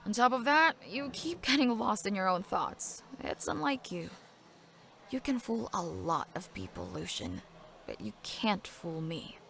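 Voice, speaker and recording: A woman plays a protective elder sister in an audio drama. She is forthright and sharp-tongued, quick to scold, with a medium-pitched voice. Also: big pitch swings, creaky, full character voice. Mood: concerned, probing.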